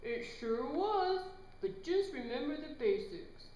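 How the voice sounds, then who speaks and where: A high-voiced woman presents an amateur health skit with big swings in pitch. She is playful and giggly as she interviews. Her voice echoes in a room with faint noise. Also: animated, a little slow, mellow. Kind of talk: amateur scripted presenting with slips. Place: indoor room, amateur student video.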